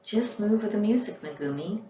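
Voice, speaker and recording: A woman voicing an excited, affectionate young girl in a gushing children's storybook reading. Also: mid-range voice, normal speed, voice pushed up, close to an everyday voice. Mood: eager. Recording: echoey room, dull sound, faint noise.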